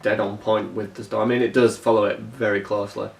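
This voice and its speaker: Fast-talking man, distant in an echoey room. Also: fairly low pitch, flat delivery, gravelly. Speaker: co-host of a review discussion. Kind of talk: casual chat reviewing an audio drama. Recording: echoey room, distant mic, faint music behind. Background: faint music.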